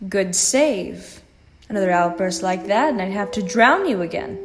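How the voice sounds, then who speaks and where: A woman's voice: medium pitch, animated, moderate pace, background noise, natural voice. A woman playing a stern, sardonic elderly ghost grandmother in an audio drama, speaking in a dry, curt, scolding manner. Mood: sternly dry.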